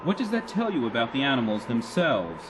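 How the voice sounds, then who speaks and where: Robot mercenary, radio drama, matter-of-fact, stubborn, very varied pitch, clearly noisy background. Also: male voice, huge pitch swings, a little fast. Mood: calm, insistent. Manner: dry, terse, matter-of-fact. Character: stubborn, unflappable two-hundred-year-old male robot mercenary. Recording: noisy ambience behind the voice.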